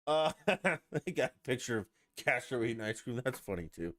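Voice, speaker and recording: A man's voice: medium pitch, very animated, moderate pace, a bit bright. A man hosting a podcast on his own, rambling and ranting with plenty of swearing. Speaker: podcast host. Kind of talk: casual solo podcast chat.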